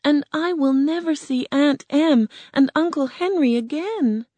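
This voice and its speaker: Narrator doing a gentle young girl, high, clear, earnest, dry close sound. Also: a little slow, no echo.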